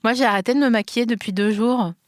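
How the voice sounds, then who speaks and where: A woman in her thirties hosting a casual podcast, an animated storyteller who laughs often. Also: a little high, fast, dry recording, clean studio sound. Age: 30s. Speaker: podcast host.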